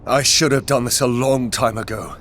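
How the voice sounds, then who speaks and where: A man voicing a grave, earnest demigod oath keeper in a video game, speaking in a solemn, measured way. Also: mid-range voice, slight background noise, lightly acted. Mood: defiant. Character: grave, sorrowful male oath keeper, rebellious demigod son. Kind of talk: cinematic video game acting. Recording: loud orchestral music behind. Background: loud game score.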